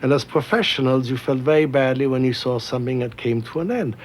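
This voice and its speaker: Older male interviewee, mid-range and plain-spoken, looking back, slight low noise behind. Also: fast. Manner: blunt, plain-spoken short statements. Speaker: photojournalist interviewed. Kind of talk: reflective documentary interview.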